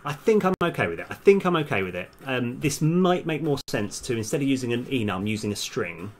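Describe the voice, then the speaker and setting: A man live-streaming as a game developer, casually thinking aloud in halting mutters as he works. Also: mid-range voice, sing-song, a little hiss or hum. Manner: halting, muttering to self while working. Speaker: game developer livestream host. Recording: music behind the voice. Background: music, computer keyboard typing.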